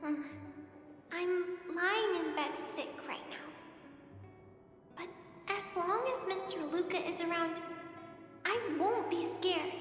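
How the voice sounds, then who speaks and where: A woman voicing a sick but hopeful young child in a video game, sincere and brave. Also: very high-pitched. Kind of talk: video game voice acting, heartfelt monologue. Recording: echoey reverberant voice over music. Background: music.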